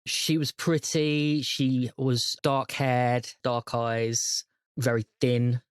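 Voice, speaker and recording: A man's voice: medium pitch, level tone, extremely slow, smooth, dry recording, clean studio sound. A man acting as game master, describing scenes in a hesitant, improvised way for a tabletop role-playing game.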